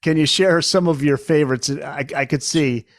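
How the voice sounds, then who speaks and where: A man's voice: fairly high pitch, normal speed, no room sound. Male podcast host with a dry, close sound. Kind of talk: casual interview.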